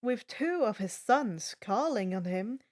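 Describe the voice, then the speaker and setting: A woman voicing a gentle old male centaur mentor who talks in a calm, formal, measured way. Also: fairly high pitch. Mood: calm, reassuring.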